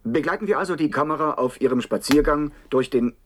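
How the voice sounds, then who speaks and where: A man playing a state television reporter giving measured, observational live commentary in a radio play. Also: fairly high pitch, fairly bright tone, a little hiss or hum, close to an everyday voice. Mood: composed, observant. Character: male TV reporter narrating a state broadcast from a camp.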